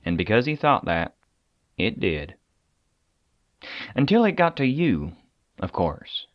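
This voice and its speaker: An actor playing a weary, persuasive government agent in an audio drama, talking conversationally with deliberate dramatic pauses. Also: male voice, lowish voice, big pitch swings, no echo, lo-fi sound. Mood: earnest, probing. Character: weary, persuasive male agent of a secret containment agency.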